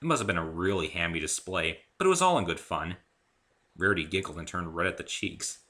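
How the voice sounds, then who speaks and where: Low-voiced man narrating a story, animated. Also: a little fast. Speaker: amateur narrator reading own story. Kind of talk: scripted first-person story narration.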